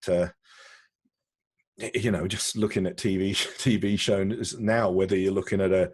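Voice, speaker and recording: A man answering questions in a conversational video-call interview, halting and often repeating words as he explains. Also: deep voice, steady tone, a little mellow, husky, no room sound. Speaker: interviewee, broadcast technology executive.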